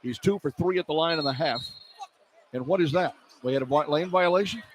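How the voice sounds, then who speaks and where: A man doing live basketball play-by-play on the radio as the announcer, animated and quick to exclaim at the action. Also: a little high. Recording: poor radio feed, clear background noise.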